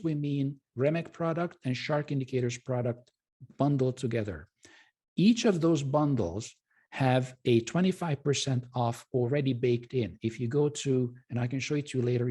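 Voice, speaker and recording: A man with a mellow, slightly husky voice presents a live webinar. He speaks informally and courteously, often pausing and correcting himself as he pitches to viewers. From Hungary. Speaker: presenter and trading educator.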